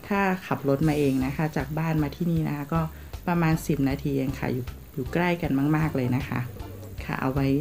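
A woman from Thailand narrating a casual travel vlog, polite and chatty, with soft endings on her sentences. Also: low-pitched, fairly even intonation, a little fast, gravelly. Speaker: travel vlogger. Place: outdoors in a city, partly on a tram.

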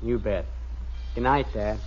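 An actor playing an eager, sheepish American teenage son in a 1950s radio comedy, talking in a casual, boyish way. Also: male voice, on the low side, measured pace, a little mellow, slight background noise, lightly acted. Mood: grateful. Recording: muffled vintage radio broadcast.

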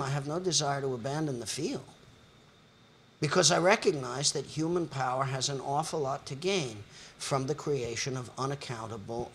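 A man's voice: medium pitch, fairly bright tone, slight background noise. An older man moderating a panel discussion in a lecture hall, speaking in long, articulate, lecturing sentences.

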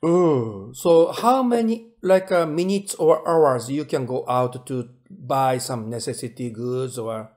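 A man's voice: medium pitch, takes it slowly, hardly any pauses, darkish tone. A Japanese man with a Japanese accent, a native Japanese speaker, interviewing in a casual, hesitant way.

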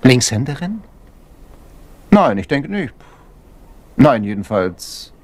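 A haughty upper-class politician in a retro radio play. His husky voice swings very widely in pitch while keeping a formal, clipped manner. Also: big pitch swings, slow pace, darkish tone. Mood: distracted, stiff. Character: stiff, self-important upper-class English gentleman politician. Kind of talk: German retro crime radio drama.

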